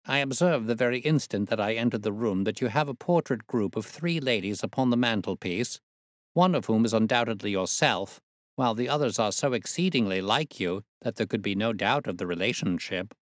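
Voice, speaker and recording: A man voicing a brisk, observant gentleman detective in a storybook reading, self-assured, precise, formal and courteous. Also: fairly low pitch, no echo, clean studio sound, pitched above the performer's own voice, lightly acted. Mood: keen, assured.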